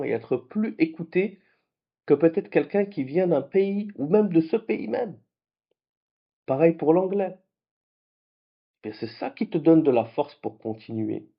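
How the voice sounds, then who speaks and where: A man whose first languages are French and Arabic, hosting a late-night trading vlog in a lively, conversational way. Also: a little high, a little slow, muffled recording. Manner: animated, conversational, with restarts. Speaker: trading channel host.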